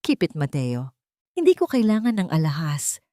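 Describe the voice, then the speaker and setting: Proud wounded wife for an audio drama , acted by a woman: cold, resolute, reproachful, on the low side. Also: wildly swooping pitch, normal speed, dark tone, no room sound, studio quality. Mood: cold, hurt.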